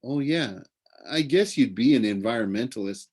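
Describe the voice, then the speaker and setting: A man's voice: medium pitch, animated, normal speed, darkish tone, slightly rough. Man reading as a casual, questioning boy hero. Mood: casual, teasing. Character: curious, teasing young boy hero, casual and skeptical.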